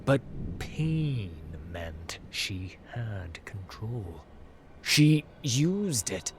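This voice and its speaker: Slow, intense male fantasy narrator. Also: fairly low pitch, sing-song. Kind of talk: dramatic scripted fantasy narration. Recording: clear noise and ambience behind. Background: ambience and music.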